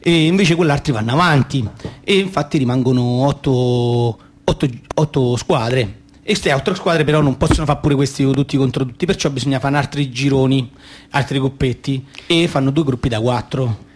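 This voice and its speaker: A man's voice: medium pitch, moderate pace, close to an everyday voice. An actor playing a boastful, naive little boy who loves football and sticker cards, in a radio play, with a Roman accent and hesitant, rambling childish talk. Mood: eager, insistent. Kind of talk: radio theatre monologue in childish colloquial speech.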